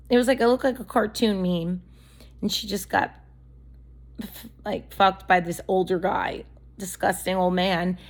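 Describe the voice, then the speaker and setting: A woman's voice: mid-range voice, husky. A woman vlogger talking straight to camera in a candid, blunt, rambling monologue, her voice a little worn from allergies.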